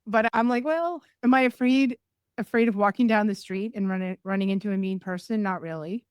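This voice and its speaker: A woman's voice: medium pitch, brisk, darkish tone, clear, resonant tone, dry recording, studio quality. Woman guest giving practical advice. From New England. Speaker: podcast guest, energy healer. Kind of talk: casual interview chat.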